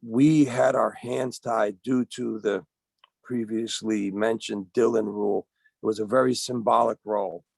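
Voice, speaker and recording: Gravelly man, voice going, halting delivery on a video call, dry sound. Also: fairly low pitch, fairly even intonation, no room sound. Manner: halting, searching for words. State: losing his voice. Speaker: union organizer. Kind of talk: panel remarks on a webinar.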